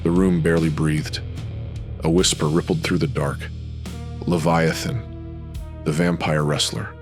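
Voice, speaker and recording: A man's voice: extremely low pitch, little pitch movement, a little slow, music in the background. A man narrating scripted supernatural noir in brooding, clipped dramatic phrases.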